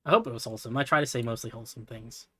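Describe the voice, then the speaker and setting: A man streaming from his computer, chatting casually in a soft, relaxing voice, rambling with lots of fillers and self-deprecating asides. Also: medium pitch, rapid-fire, dry recording. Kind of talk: casual livestream chat while building.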